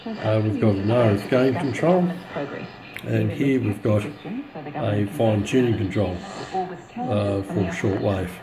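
Low-voiced man, halting delivery. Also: a crowd in the background, low-quality recording. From Australia. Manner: halting, hesitant delivery with frequent pauses. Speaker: radio enthusiast presenting a receiver. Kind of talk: casual unscripted product demonstration. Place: home kitchen, indoors.

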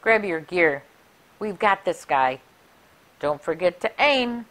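Slow, very expressive woman reading to children. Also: lowish voice. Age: middle-aged. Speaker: library story time reader. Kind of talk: picture book read-aloud for children. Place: small furnished room indoors. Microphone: clip-on lapel mic.

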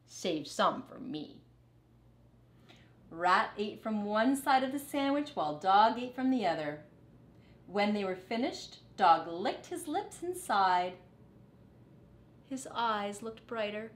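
A woman's voice: mid-range voice, animated, slow. A middle-aged woman reads a children's story aloud with dramatic, teacherly phrasing. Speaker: storybook reader. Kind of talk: expressive children's read-aloud. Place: living room, on a couch. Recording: echoey living room.

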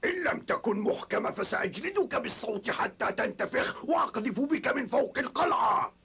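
An actor playing a cruel, short-tempered enemy commander with a raspy voice, barking orders and threats in a cartoon. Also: male voice, very high voice, animated, brisk, bright, forceful tone, exaggerated voice. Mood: threatening.

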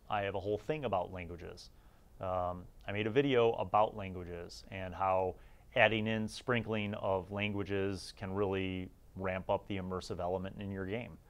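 Man, lowish voice, casual reviewer, informal, enthusiastic, thinking out loud. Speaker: host of a tabletop gaming review channel. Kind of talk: casual rambling magazine review.

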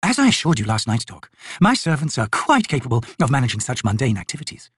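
A man's voice: medium pitch, wildly swooping pitch, quick pace, fairly bright tone, slightly rough, dry recording, studio quality, exaggerated voice. A man voicing a haughty, teasing noble sorceress in an audiobook, with ornate, lilting, imperious phrasing.

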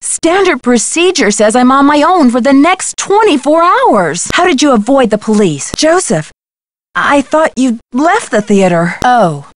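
A woman's voice: high-pitched, very animated, a little slow, no room sound. A woman playing a plucky, determined young amateur detective in a video game, earnest and inquisitive. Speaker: voice actress in a detective adventure game. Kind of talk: scripted video game dialogue.

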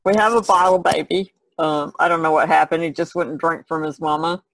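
A woman's voice: low voice, fairly even intonation, fairly quick, dry recording, rough recording. A woman co-hosting a podcast in casual chat, with a rambling, easygoing way of talking. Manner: rambling, easygoing, giggles often. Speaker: podcast co-host.

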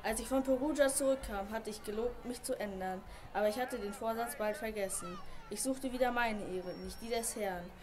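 Woman as idealistic young man, devout, earnest, highish voice, amateur radio drama. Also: moderate pace, hardly any pauses, close to an everyday voice. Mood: reflective. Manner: earnest, declamatory. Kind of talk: amateur radio play acting.

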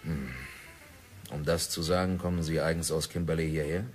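A stubborn diamond prospector. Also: male voice, extremely low pitch, flat delivery, normal speed. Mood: defiant. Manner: defiant, self-assured, sardonic. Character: stubborn obsessed male diamond prospector, middle-aged. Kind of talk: 1960s radio drama acting. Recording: old radio play with faint music.

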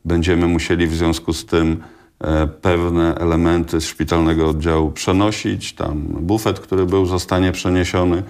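Deep-voiced man, measured and hesitant. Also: level tone, darkish tone. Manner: measured, hesitant, many filler sounds. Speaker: hospital director, studio guest. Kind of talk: radio studio interview answers. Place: small TV studio.

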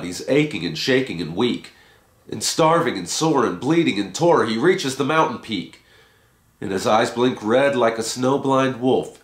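A man giving a dramatic recitation of a rhyming story poem as its reader. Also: fairly low pitch. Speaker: poetry reader. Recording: roomy, echoing home recording.

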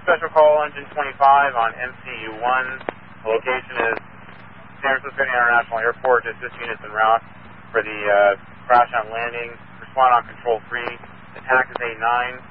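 Flat-toned male dispatcher on tinny radio. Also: mid-range voice, normal speed. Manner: procedural, clipped, composed. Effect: two-way radio. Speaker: fire and EMS radio dispatcher. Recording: narrow tinny radio scanner, faint static.

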